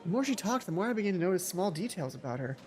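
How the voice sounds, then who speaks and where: Let's play host, medium pitch, theatrical story reading broken by casual asides, music behind. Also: big pitch swings, very fast, darkish tone, a little hiss or hum. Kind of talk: reading visual novel aloud with casual asides.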